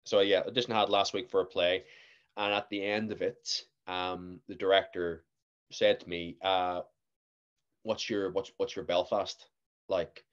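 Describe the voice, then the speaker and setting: Young northern irish guy, lowish voice, chatty and animated, bit dull lo-fi sound. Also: unhurried, clean tone. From Northern Ireland. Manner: animated storytelling, laughs often. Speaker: guest actor. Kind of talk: casual podcast chat.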